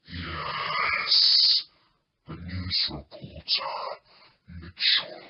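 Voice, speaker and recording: Man playing a very slow, low-voiced cult master. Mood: cold, commanding. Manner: slow, deliberate, formal threatening delivery. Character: menacing cult master, cold commanding villain. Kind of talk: amateur radio play acting. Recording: echoey, muffled, noisy home recording.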